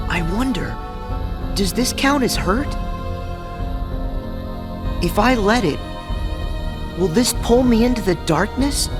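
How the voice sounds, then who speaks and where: A man voicing an earnest, stubborn and kind teenage boy hero in a game cutscene, speaking in a resolute, quietly pained way. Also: high voice, heavily acted. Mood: wistful. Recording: game audio with long reverb and music.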